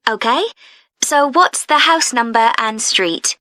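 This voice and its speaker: A woman playing a friendly, efficient club receptionist, asking clear, helpful questions in a scripted listening-test dialogue. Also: fairly high pitch, lively intonation, slow, pushed and bright, no room sound, heavily acted. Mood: friendly, businesslike.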